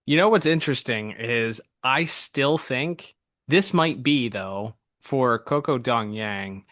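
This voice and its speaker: Male podcast co-host, mid pitch, informal and enthusiastic, close dry recording. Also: expressive, slow pace, fairly bright tone, dry recording. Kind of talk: casual animation review chat.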